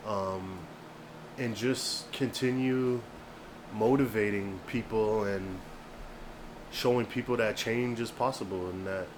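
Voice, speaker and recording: A man's voice: steady tone, slow, background noise. Laid-back man in his thirties. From Canada. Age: 30s. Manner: laid-back, low-key, trails off softly. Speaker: rapper guest. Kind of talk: casual interview chat.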